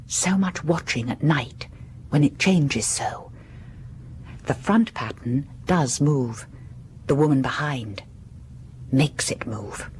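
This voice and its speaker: An actress playing an obsessed, unravelling Victorian wife in a gothic audio drama monologue, speaking in a hushed, deliberate way that drifts into whispers. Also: female voice, low voice, slight background noise.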